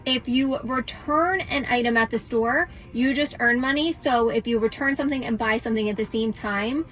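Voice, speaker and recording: A woman's voice: fairly high pitch, normal speed, a bit bright, faint music behind, low-quality recording. A woman casually explaining her reasoning in a comic back-and-forth conversation.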